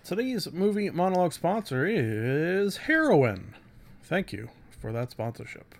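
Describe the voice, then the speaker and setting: A man's voice: on the high side, sing-song, measured pace. A man hosting a casual solo podcast, rambling through reviews with self-deprecating asides. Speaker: podcast host.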